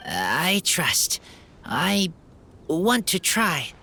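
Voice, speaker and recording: An actor voicing a shy young man raised by wolves, who speaks in halting, broken phrases in a game. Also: high voice, sing-song, pushed and bright, a little hiss or hum, exaggerated voice. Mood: earnest. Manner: halting broken speech, slow and simple.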